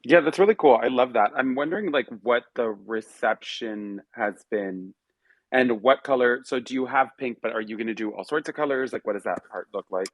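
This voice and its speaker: A man's voice: medium pitch, normal speed, no echo. A young man from Miami hosting a casual live audio chat, upbeat and chatty, with many fillers. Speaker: host.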